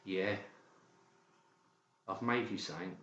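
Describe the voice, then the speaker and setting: Man playing troubled son, lowish echoey voice. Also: takes it slowly, fairly bright tone, highs cut off. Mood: subdued. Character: troubled, secretive son in a mental institution.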